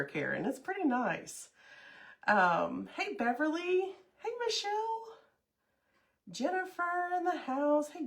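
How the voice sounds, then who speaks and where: Lady, kinda stuffy from a cold, bubbly livestream chat, medium pitch. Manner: chatty, bubbly, greets viewers by name. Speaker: craft livestream host. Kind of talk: casual livestream chat with viewers.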